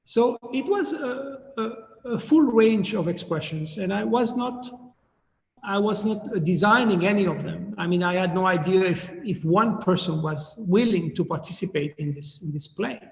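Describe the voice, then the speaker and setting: Middle-aged Chilean-born man, high voice, echoey room. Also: lively intonation, vocal fry. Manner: measured, restarts phrases while thinking. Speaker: artist guest. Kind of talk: thoughtful Q&A answers. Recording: echoey room with long reverb.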